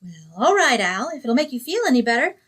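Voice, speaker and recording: A woman's voice: high-pitched, very animated, a little fast, a bit bright, husky, heavily acted. A woman playing a sweet, naive, scatterbrained young single woman in old-time radio comedy style. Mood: sweet, credulous. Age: young adult. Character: sweet, dim-witted, literal-minded young single woman.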